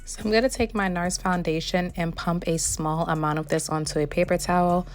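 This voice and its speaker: A young woman with a slightly hoarse voice, still recovering, walking through a wig review and install step by step. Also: lowish voice, fairly quick, soft background music. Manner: step-by-step explaining, relaxed. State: hoarse, recovering from losing her voice. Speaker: beauty vlogger reviewing a wig. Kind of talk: product review voice-over.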